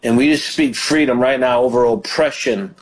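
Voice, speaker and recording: A man's voice: medium pitch, moderate pace, poor audio. A man hosting a call-in prayer show as a pastor, praying fervently over callers. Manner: fervent praying, frequent hallelujahs. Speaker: radio ministry host and pastor.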